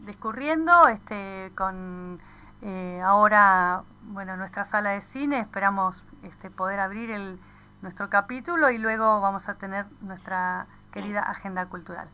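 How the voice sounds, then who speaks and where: Lady co-hosting on radio, bright voice, medium pitch, casual chat. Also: unhurried, clean tone. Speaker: radio co-host.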